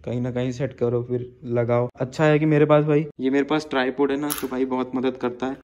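A man's voice: medium pitch, mellow, highs cut off. A man giving an informal product review and tutorial, talking to viewers like friends. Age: 20s. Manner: informal, addresses viewers as guys and bhai. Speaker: vlogger. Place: bedroom at home.

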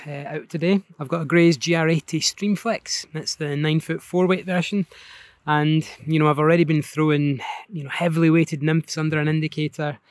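A Scottish man in his thirties chatting casually to camera as a fishing vlogger, in a relaxed, thoughtful way with some hesitation. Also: fairly high pitch. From Scotland. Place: outdoors on a grassy riverbank.

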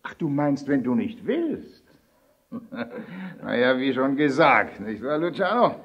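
A man's voice: medium pitch, very animated, unhurried, slightly rough, highs cut off, exaggerated voice. A man playing a menacing, mock-polite mafia boss in a German audio drama. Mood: smug threat. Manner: mock-polite, smug, threatening. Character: menacing, mocking male mafia boss extorting a restaurateur.

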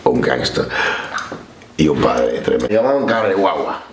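A Cuban man in his forties with a Cuban Spanish accent, telling stories in a relaxed way and mixing in English slang. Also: very deep voice, normal speed, echoey room, background noise. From Cuba. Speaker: interview guest. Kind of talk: storytelling interview. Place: studio set, seated on couch.

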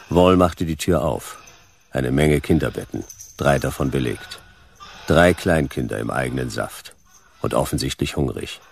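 Very deep, creaky deadpan detective. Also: male voice, full character voice. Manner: terse, deadpan, clipped sentences. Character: Jonas, laconic, sceptical hard-boiled male detective. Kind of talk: noir radio play narration and dialogue.

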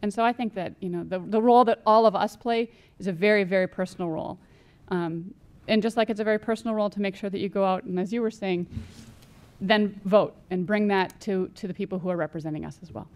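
A woman in her forties speaking as a panelist in a council chamber, earnest and explanatory, with a measured delivery. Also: mid-range voice, a little mellow. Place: council chamber with small audience. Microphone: gooseneck desk microphone.

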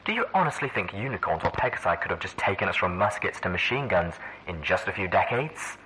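A man's voice: low-pitched, big pitch swings, fairly quick, bright, forceful tone, exaggerated voice. A man voicing a smug, silky female pony overseer with a condescending manner in an audiobook. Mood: silky challenge. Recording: faintly noisy old audio log.

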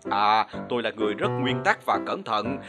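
A man's voice: lowish voice, animated, moderate pace, close to an everyday voice. A sheepish cartoon lighthouse keeper played by a man, stiffly principled and simple. He drawls hesitantly with little filler sounds. Music plays behind him. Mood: earnest, apologetic. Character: sheepish, overly principled male lighthouse keeper, freshly fired. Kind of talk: comic cartoon dubbing.

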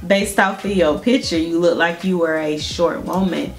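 A young Southern woman talks casually to her viewers in a lowish, relaxed voice while she does her makeup. She is chatty and laughs often, and soft music plays behind her. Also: moderate pace. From Georgia, US. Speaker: beauty vlogger. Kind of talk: casual chit-chat while doing makeup. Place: small indoor room at home.

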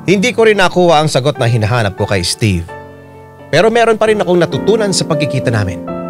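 Animated Filipino male narrator over loud music. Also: on the high side, quick pace. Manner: reflective. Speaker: radio drama narrator. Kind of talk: dramatic scripted narration. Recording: loud piano music under voice.

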